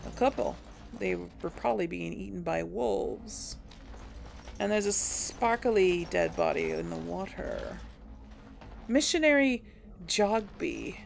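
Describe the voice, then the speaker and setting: A woman's voice: mid-range voice, lively intonation, slow pace, gravelly, long pauses, a little hiss or hum. A young woman casually commentating as she plays a video game, chatty and amused, thinking aloud. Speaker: let's play host.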